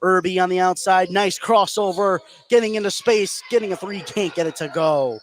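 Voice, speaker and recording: A man giving live play-by-play radio commentary of a high school basketball game, energetic and lifting with the action. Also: moderate pace, slight background noise.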